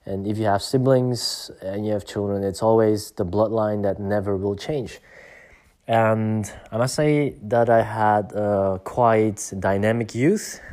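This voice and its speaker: Hesitant, reflective man, low voice. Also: fairly even intonation, unhurried, a little mellow. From Belgium. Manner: reflective, hesitant, many fillers and restarts. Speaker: vlogger. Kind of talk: personal vlog talk.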